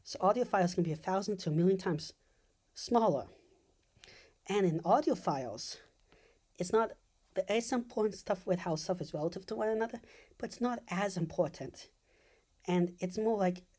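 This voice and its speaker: A woman's voice: on the low side, moderate pace, pauses often, darkish tone. A woman giving an informal explanatory monologue, thinking aloud as she explains technical ideas step by step. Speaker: video essayist.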